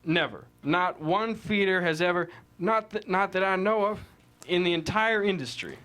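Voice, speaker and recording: A man's voice: high-pitched, moderate pace, a bit bright. A man playing an indignant, plain-spoken cattle rancher giving folksy, emphatic testimony in a courtroom drama table read. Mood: insistent.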